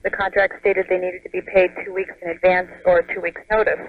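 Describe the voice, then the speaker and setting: A woman's voice: fairly low pitch, little pitch movement, a little fast. American woman calling in on muffled phone. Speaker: caller, home daycare operator. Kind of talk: casual phone-in explanation. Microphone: telephone. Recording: muffled phone line on radio.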